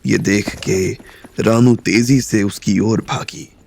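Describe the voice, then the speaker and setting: A man narrates a scripted audio story in a low, gravelly voice. His delivery is very unhurried and measured, like an audiobook reader telling a tale. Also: steady tone, a little mellow.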